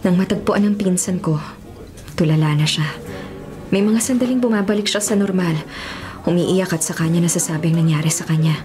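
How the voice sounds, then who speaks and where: A woman's voice: fairly low pitch, close to an everyday voice. An actress playing a mischievous, affectionate young woman, a mayor's daughter, in a Filipino radio drama, teasing and forthright with a Filipino accent. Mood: somber. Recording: music behind the voice.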